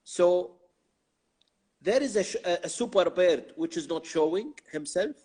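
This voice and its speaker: A man's voice: high voice, expressive, a little slow, warm tone, husky. A man whose first language is Arabic, hosting and translating an interview, often agreeing briefly and searching for words in English. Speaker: host and translator. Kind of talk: casual interview.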